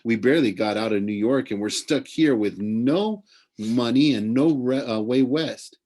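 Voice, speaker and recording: A man's voice: mid-range voice, measured pace, darkish tone, lightly acted. A man voicing a stubborn, defensive boy hero in a storybook, with an emphatic, indignant delivery. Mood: frustrated.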